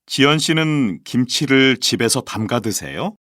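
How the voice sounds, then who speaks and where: A man's voice: mid-range voice, lively intonation, measured pace, a bit bright. A man voicing scripted dialogues and a model presentation for a language textbook, with careful, evenly enunciated reading. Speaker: textbook audio voice actor.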